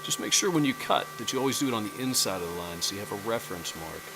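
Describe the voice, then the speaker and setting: A man hosting a casual woodworking tutorial in his workshop, relaxed and self-deprecating. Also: lowish voice, fast talker, heavy background noise. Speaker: woodworking host. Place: home woodshop.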